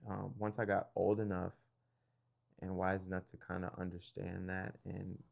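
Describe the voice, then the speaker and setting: A young man hosting a casual interview, relaxed and thoughtful, often affirming with quick "yeah"s and pausing with fillers. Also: low voice, creaky. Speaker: podcast host.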